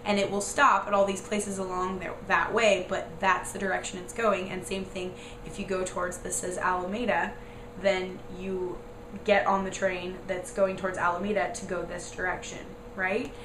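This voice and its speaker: Young American woman vlogger, hoarse and croaky from a cold, rambling and casual; echoey room, noisy backdrop. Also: little pitch movement, normal speed. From United States. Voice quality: raspy, squeaky and croaky. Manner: rambling, lots of "like" and "um". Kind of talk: casual chatty explanation.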